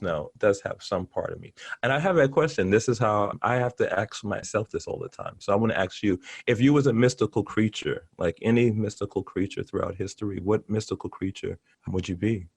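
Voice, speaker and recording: Low-voiced, effusive middle-aged man, dry sound. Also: brisk, vocal fry, hardly any pauses, husky, no room sound. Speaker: interview guest, artist and teacher. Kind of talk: casual interview chat.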